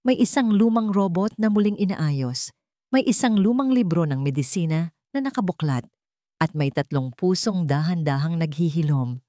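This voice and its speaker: A woman with a Filipino accent narrating an emotional family story in a soft, heartfelt storytelling manner. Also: lowish voice, animated, normal speed, no room sound, clean studio sound.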